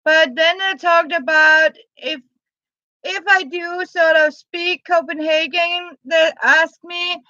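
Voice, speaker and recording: A woman's voice: very high-pitched, steady tone, slow pace, bright, forceful tone, no echo, dull audio. A Danish woman from Jutland, hosting a live stream in English as a second language, chatting casually with viewers, reading their comments aloud and often restarting her words. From Jutland, Denmark.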